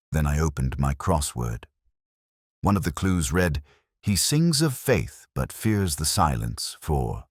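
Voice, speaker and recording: A man playing a brooding English police detective, narrating a mystery drama in a measured, literary and melancholy way. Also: rumbling low, no echo, clean studio sound, full character voice. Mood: reflective, melancholy. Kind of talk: scripted first-person mystery narration.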